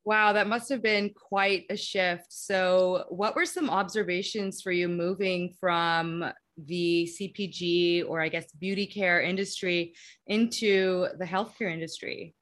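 A woman's voice: on the low side, steady tone, unhurried, a bit bright. A woman hosting a career podcast who reads a scripted introduction and asks affirming interview questions. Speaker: podcast host.

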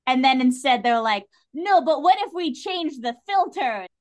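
A woman's voice: sits high, animated, moderate pace. A woman in a casual, opinionated discussion as a podcast guest, speaking with emphatic enthusiasm.

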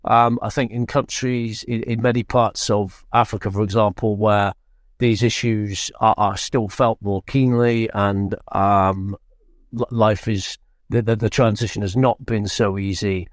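A British man giving unscripted analysis as a correspondent in an interview, speaking in a measured, hesitant way with frequent restarts. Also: lowish voice, fairly even intonation, no room sound, studio quality. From Britain. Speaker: foreign correspondent, interview guest.

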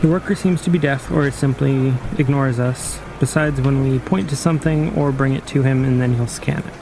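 A man playing a dry, matter-of-fact post-apocalyptic wayfinder in an audio drama, speaking in a measured, precise way. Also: medium pitch, fairly even intonation, vocal fry, noisy background, close to an everyday voice. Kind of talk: audio drama interview storytelling.

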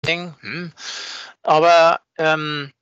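Bright, higher-voiced man chatting haltingly. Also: level tone, smooth, dry recording, muffled recording. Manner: halting, repeats words, seeks agreement with tags. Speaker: fan podcast co-host. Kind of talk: casual chat.

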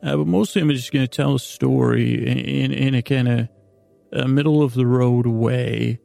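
An American man with a gravelly, creaky voice hosting a bedtime podcast, rambling in a halting, meandering way with drawn-out pauses. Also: mid-range voice, steady tone, warm tone, no echo, soft background music. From United States. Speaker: podcast host.

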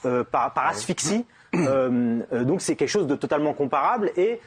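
Husky-voiced man, stammering and argumentative. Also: a little high, lively intonation, moderate pace. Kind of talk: casual political discussion.

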